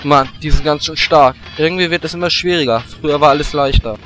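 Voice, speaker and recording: A man's voice: on the high side, quick pace, music in the background, lightly acted. A man playing a cheeky, grumbling adventurer in a parody audio drama, in colloquial style.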